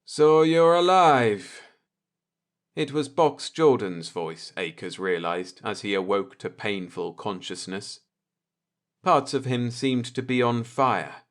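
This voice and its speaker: A man reading a scripted sci-fi story as an audiobook narrator, in a measured, dramatic way. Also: lowish voice, expressive.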